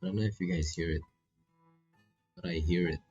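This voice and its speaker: A man's voice: low voice, fairly even intonation, fairly quick, a little mellow, lo-fi sound, soft background music. A man doing laid-back, casual live commentary on a visual novel, reading text aloud and swearing freely as he reacts.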